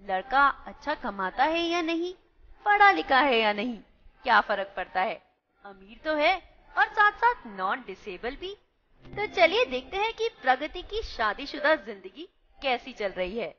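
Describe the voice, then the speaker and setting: A woman narrates a radio play, talking directly to her listeners and asking them questions. Her voice is high, bright and animated, with soft music underneath. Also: measured pace, highs cut off. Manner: questioning, addresses listeners as friends. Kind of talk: scripted reflective narration.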